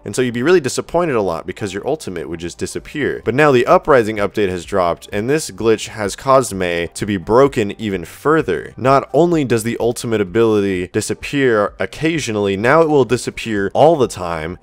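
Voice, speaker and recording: A man's voice: fairly low pitch, no room sound. A man hosting a gaming news video, explaining updates directly to viewers in scripted narration. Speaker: YouTube gaming news host.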